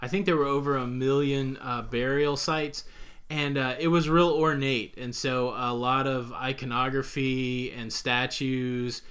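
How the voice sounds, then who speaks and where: Relaxed rambling man co-hosting. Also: medium pitch, unhurried. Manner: relaxed, rambling, many uhs. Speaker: co-host. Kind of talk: casual live Q&A chat.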